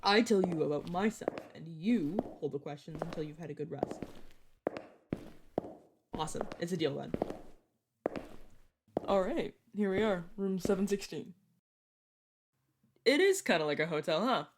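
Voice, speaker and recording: A woman's voice: on the low side, expressive, normal speed, pauses often, background noise. A woman voice actor playing a playful, sarcastic young vampire mentor who chats and teases in an audio roleplay. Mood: friendly, teasing. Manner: teasing, sarcastic, chatty. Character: playful, teasing vampire mentor, turned at nineteen. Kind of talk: narrative audio roleplay, one-sided conversation.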